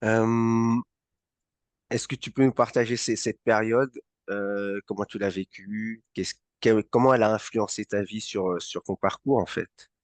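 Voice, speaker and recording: A man hosting an interview, asking questions in a hesitant, halting way with many fillers. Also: on the low side, fairly even intonation, no room sound. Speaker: host.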